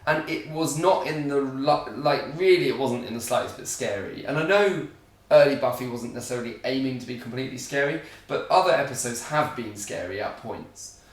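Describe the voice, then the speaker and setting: A man chatting casually on a reaction video, critical and halting, often restarting his sentences. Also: medium pitch, animated. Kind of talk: casual chat. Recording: roomy sound from a distant mic.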